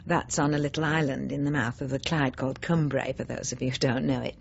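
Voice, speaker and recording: An actress playing a snobbish, self-satisfied middle-aged housewife who chats and justifies herself in a radio drama monologue. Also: female voice, a bass voice, steady tone, brisk, gravelly, dull audio, a little hiss or hum. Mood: chatty. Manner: chatty, self-justifying, genteel, digressive with pointed emphasis.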